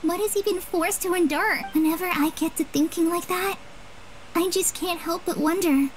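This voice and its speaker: A woman's voice: very high-pitched, moderate pace. A woman voicing an earnest, troubled, hesitant young girl in a fantasy game. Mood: troubled, pensive. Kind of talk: animated game dialogue.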